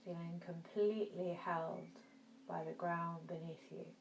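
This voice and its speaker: A woman teaching yoga nidra, guiding a relaxation meditation in a soft, soothing, gentle manner with long pauses. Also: lowish voice, fairly even intonation. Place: indoor room. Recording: roomy echo, faint background noise.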